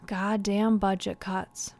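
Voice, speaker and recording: A woman's voice: medium pitch, little pitch movement, drawn-out words, darkish tone. An actress playing a skeptical, stubborn policewoman in an audio drama, blunt and questioning. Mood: guarded, softening. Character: guarded, sharp-tongued policewoman, skeptical and stubborn.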